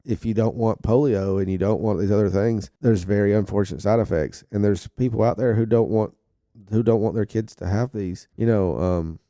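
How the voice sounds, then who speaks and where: A man's voice: lowish voice, steady tone, normal speed, mellow, no echo, clean studio sound. A man co-hosting a podcast, chatting in an easygoing way with hesitant ums. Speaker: podcast co-host. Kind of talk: casual chat.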